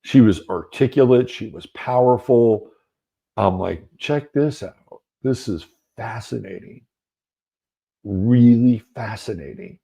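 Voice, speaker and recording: A man's voice: medium pitch, expressive, slow, gravelly, mellow, slightly rough. A middle-aged man hosting an astrology show, telling a rambling story in a loose, conversational way full of fillers and asides. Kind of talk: casual storytelling monologue.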